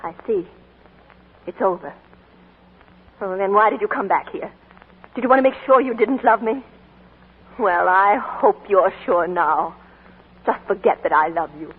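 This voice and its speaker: A woman's voice: medium pitch, unhurried, pauses often, husky, phone-quality sound. An actress playing a young woman whose sweetheart has come home from war, in emotional 1940s radio acting on an old broadcast recording. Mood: bitter. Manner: emotional, breathless and pleading. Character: young woman waiting for her soldier sweetheart, heartbroken.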